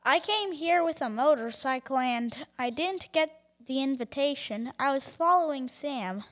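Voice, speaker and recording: Girl as secretive blackmailing guest, bright young voice, lively pitch, insistent, amateur radio play. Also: a little slow. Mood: defiant. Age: child or teenager.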